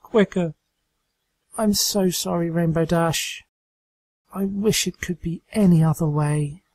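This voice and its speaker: Rational storybook unicorn mare, voiced by a man, earnest and firm, lifted pitch, dry sound. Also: fairly even intonation, a little mellow, no room sound. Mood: sorrowful. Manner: earnest, measured. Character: serious, rational female unicorn pony, firm but sorrowful.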